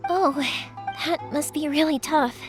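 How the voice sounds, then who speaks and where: A woman's voice: high-pitched, a little slow, over music. An actress voicing a cheerful, kind young woman in an anime-style video game, speaking in a friendly, chatty way. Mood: gentle, sympathetic. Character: cheerful, kind young woman, fireworks maker, sociable and caring.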